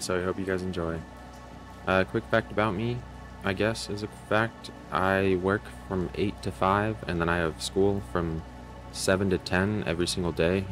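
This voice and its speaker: Young guy with a low voice just chatting to his viewers, bit of music behind. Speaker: channel creator. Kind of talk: casual, informal chat to viewers. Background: faint music.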